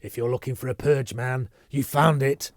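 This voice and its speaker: A man's voice: mid-range voice, steady tone, normal speed, a little mellow, dry recording, clean studio sound, natural voice. A man voicing a savage, cold, menacing male interrogator in a storybook reading. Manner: savage, pounding.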